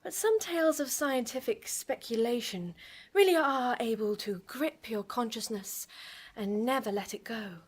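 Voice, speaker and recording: Slow, arch theatrical radio hostess. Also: female voice, on the high side, breathy edge. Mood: gossipy, amused. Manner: arch, teasing, drawn-out delivery. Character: playful, flirtatious, theatrical 1930s radio show hostess with macabre wit. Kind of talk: theatrical scripted radio presenting. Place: live on a festival stage.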